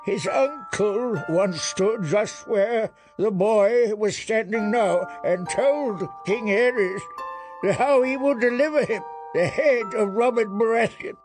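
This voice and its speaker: A man voicing a ponderous old scholarly counsellor with drawn-out delivery, for an audiobook. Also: high-pitched, music in the background, pitched above the performer's own voice, rough recording. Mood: grave, reproachful. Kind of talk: audiobook character acting.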